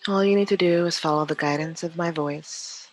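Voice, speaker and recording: A yoga teacher leading a guided meditation in a calm, soothing, unhurried way with long pauses. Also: medium pitch, a little hiss or hum.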